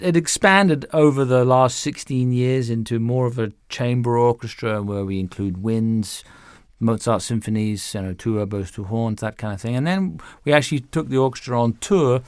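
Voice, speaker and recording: A man speaks as an interview guest in a conversational way, with a mid-pitched voice that has a touch of gravel. He phrases things haltingly, doubling back on words as he explains. The sound is dry and close. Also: no echo. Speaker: music director, interview guest.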